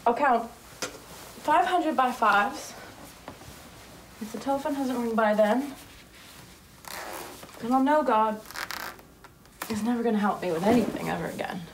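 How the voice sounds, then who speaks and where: A woman's voice: fairly high pitch, measured pace, long pauses, background noise. Woman playing an anxious young woman pleading. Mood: anxious bargaining. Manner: pleading, swings between whispers and outbursts. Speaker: actress performing a monologue. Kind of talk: dramatic monologue.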